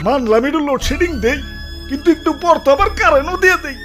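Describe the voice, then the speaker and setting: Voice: high-pitched, animated, quick pace, music in the background. A performer voicing a self-pitying, defensive cartoon electricity worker who pleads plaintively in speech. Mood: aggrieved pleading. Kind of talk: comic cartoon monologue.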